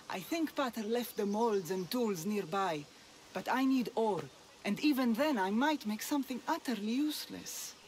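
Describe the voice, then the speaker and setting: An actress playing a young, self-doubting blacksmith's daughter in a video game, wry and hesitant. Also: female voice, medium pitch, expressive, a little slow, lightly acted. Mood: doubtful. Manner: wry, hesitant, grumbling, sighing. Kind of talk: video game cutscene acting.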